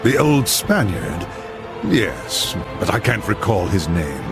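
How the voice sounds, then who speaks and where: Big imperious dark lord, low voice, slow, gravelly, blaring music under it. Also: male voice, sing-song. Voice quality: deep, gravelly. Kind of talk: video game cutscene acting. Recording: very loud music behind.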